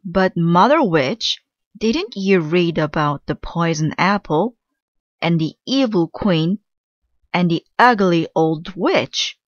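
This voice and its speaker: A woman's voice: on the low side, expressive, takes it slowly, no echo, lo-fi sound. A woman voicing a sweet young witch girl from a storybook, speaking in an earnest, pleading way. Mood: eager asking.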